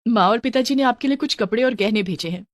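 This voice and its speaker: A woman's voice: a little high, fast talker, no room sound, clean studio sound, voice pushed up, close to an everyday voice. Woman playing a meek, earnest young daughter-in-law. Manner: pleading, earnest.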